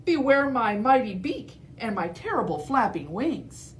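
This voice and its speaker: A woman's voice: on the high side, very animated, measured pace, slight background noise, pitched above the performer's own voice. A woman voicing a small, brave female chicken making chirpy, bold declarations in a storybook reading.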